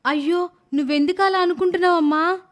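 A woman playing a worried, devoted young wife and mother in an animated moral story, fretful and earnest. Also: very high-pitched, fairly quick. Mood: concerned.

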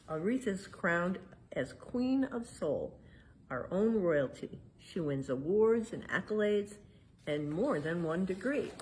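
A middle-aged woman reading a picture book aloud to children in a museum hall, spelling out each page title and then explaining it gently. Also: lowish voice, a little hiss or hum. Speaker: museum storytime reader. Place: indoor museum exhibit hall.

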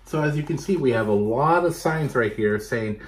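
Expressive man reading to children. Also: medium pitch, moderate pace, slight background noise. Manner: expressive, addresses children directly with questions. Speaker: storytime reader for children. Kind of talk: animated picture-book read-aloud with asides to young viewers. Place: small bedroom at home, indoors.

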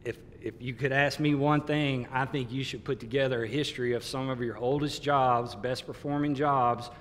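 A man's voice: fairly even intonation, normal speed, a bit bright. A middle-aged man, a contractor on a conference panel, speaking in an emphatic, plain-spoken way. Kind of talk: panel discussion. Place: conference hall stage panel. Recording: reverberant conference hall, faint rumble.

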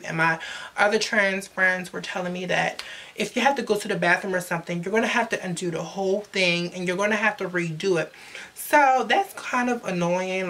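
A woman's voice: medium pitch, sharp tone. Young woman, sassy and slangy, talking close to the camera, calls everyone sis. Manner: chatty, sassy, slangy, addresses viewers as sis. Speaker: vlogger. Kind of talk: casual advice vlog. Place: indoors in front of a glittery backdrop. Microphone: camera at close range.

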